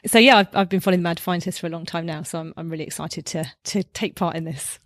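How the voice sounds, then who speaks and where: Quick-speaking woman. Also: on the low side, steady tone, dry recording, clean studio sound. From London, UK.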